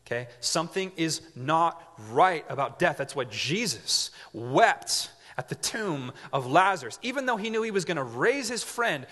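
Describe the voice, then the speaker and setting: A man's voice: fairly high pitch, a bit bright. A man in his twenties preaching a sermon as pastor, conversational with joking asides and frequent check-ins with the audience. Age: 20s. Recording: reverberant hall.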